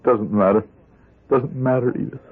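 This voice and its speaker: An actor playing a weary, pleading older ex-convict in a vintage radio drama, with a husky voice. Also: male voice, medium pitch, steady tone, moderate pace. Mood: bitter, despairing. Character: weary gray-haired ex-convict, broken and pleading. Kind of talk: 1970s radio drama acting. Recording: tinny, band-limited old radio broadcast.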